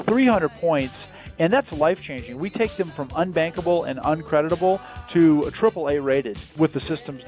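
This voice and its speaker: Salesy, higher-pitched man pitching over music. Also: darkish tone. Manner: salesy, emphatic, repeats phrases for effect. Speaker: debt relief company founder giving a sales pitch as guest. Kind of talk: promotional interview on a conference call.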